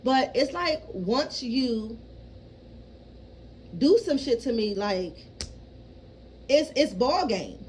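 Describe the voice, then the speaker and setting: A woman's voice: a little high, animated, slow pace, long pauses, a little mellow, a little hiss or hum, rough recording. A woman in her thirties talking frankly in a casual personal vlog, swearing freely and often checking in with her listeners. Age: 30s. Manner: rambling, keeps asking "you know what I mean". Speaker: vlogger.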